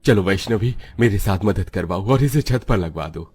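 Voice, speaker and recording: Casting: male performer as weary, kindly village labourer dad for a cartoon, low-pitched voice. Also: lively intonation, normal speed, darkish tone, husky, soft background music. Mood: practical, urgent. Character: tired poor village father, a kindly labourer. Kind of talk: Hindi cartoon dialogue.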